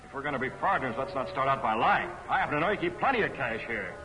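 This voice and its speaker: A man's voice: mid-range voice, expressive, quick pace, sharp tone, a little hiss or hum, rough recording. A man playing a stern, commanding masked vigilante with an American accent and clipped delivery, in the style of a 1940s film serial. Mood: cool, menacing. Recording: old film soundtrack with music.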